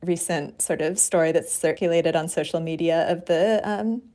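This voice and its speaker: A woman's voice: fairly low pitch, normal speed, mellow. Reflective woman guest author. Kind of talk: radio interview.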